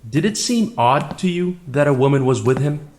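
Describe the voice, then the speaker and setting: A man's voice: a little high, lively intonation, normal speed, darkish tone, lightly acted. Man acting a probing courtroom lawyer. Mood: probing, formal. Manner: formal questioning. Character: male trial lawyer, probing, formal, skeptical. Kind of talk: courtroom audio drama.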